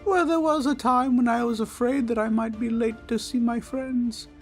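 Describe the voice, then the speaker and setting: A performer voicing a small, friendly teddy bear, naive and earnest, speaking gently and politely in an ASMR comfort roleplay. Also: mid-range voice, fairly even intonation, moderate pace, soft background music, natural voice. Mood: cheerful, naive. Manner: gentle, innocent, polite, chatty.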